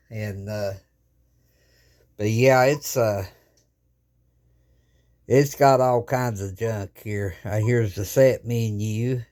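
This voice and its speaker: A man's voice: mid-range voice, darkish tone. A man who runs ham radio, casually showing off his gear at his home radio desk in a folksy, rambling way, recorded on a handheld phone camera. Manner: rambling, folksy, plain-spoken with many restarts.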